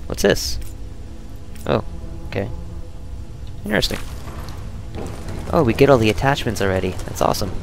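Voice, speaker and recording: A man with a low, gravelly edge hosts a gaming video. His voice is smooth and clean, whether he is reading narration or commenting casually as he plays. Game music sits softly behind him. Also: big pitch swings, normal speed, long pauses.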